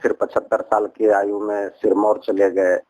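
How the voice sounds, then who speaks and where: A man's voice: lowish voice, level tone, measured pace, mellow, dry recording. A man with a Bihari accent on a recorded phone call, talking earnestly and at length about personal matters, circling back and repeating his points. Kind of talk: rambling personal account in conversation.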